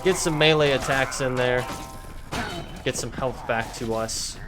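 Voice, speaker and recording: A slightly hoarse man talks viewers through his game in a relaxed, chatty way, speaking at a mid pitch. Loud game music plays under his voice. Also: measured pace. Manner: chatty, addresses viewers as guys, frequent fillers. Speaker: gaming video creator. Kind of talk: casual gameplay commentary. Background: game music and combat sounds.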